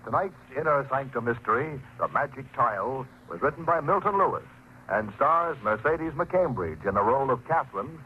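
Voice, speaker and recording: A man's voice: medium pitch, animated, normal speed, lightly acted. A man giving a scripted announcement in the style of 1940s radio drama. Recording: very noisy, muffled 1940s radio recording.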